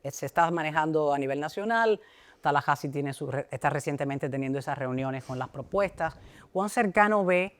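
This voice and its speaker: A woman hosting a talk show, interviewing a guest in a fluent, chatty manner and quickly prompting him. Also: deep voice, lively intonation.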